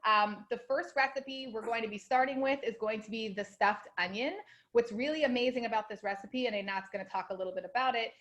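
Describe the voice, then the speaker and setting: A woman's voice: a little high, a little fast, a bit bright. Brief: young female host, bubbly and casual, lots of "um" and "like", video-call audio. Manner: bubbly and chatty, many fillers like "um" and "like". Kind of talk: hosting a live online program.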